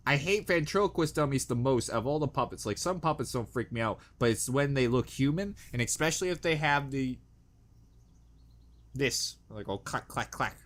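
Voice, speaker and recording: A man hosts a casual reaction video, his pitch swinging widely as he talks. He rambles in a jokey, crude way and plays up his dread with theatrical exaggeration. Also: lively intonation, moderate pace, fairly bright tone.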